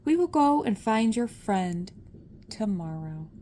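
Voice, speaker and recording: A woman's voice: mid-range voice, very animated, takes it slowly, dark tone, slight background noise. A woman voicing a small, kindly storybook mouse, friendly and reassuring.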